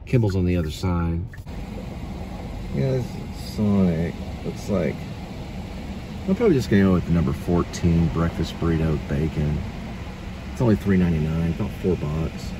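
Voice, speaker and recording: A man's voice: low voice, moderate pace, pauses often, noisy background. A man vlogging casually while on a delivery run, talking in a rambling, offhand way that trails off and repeats itself. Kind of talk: casual talk to camera. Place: in and around a car on delivery rounds.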